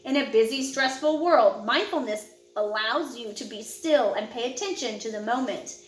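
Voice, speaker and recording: A woman narrating a children's picture book in a measured, instructional way, phrasing clearly for young listeners. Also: fairly high pitch, echoey room, poor audio. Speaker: audiobook narrator.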